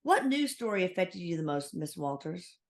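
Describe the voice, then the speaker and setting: A woman's voice: on the low side, big pitch swings, moderate pace. Chatty Texan woman host. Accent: Texas. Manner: chatty, opinionated, affirming. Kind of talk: casual interview.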